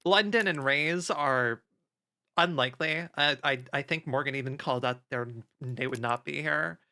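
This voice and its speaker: A man's voice: fairly high pitch, normal speed, pushed and bright. A man chatting casually on stream, thinking aloud in a rambling way and swearing casually. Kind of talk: casual chat while playing a game on stream.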